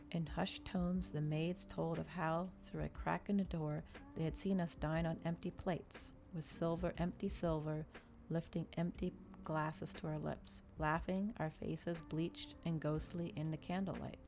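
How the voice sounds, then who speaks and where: Low, creaky woman reading softly for ASMR. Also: fairly even intonation, a little slow, slight background noise. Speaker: ASMR streamer.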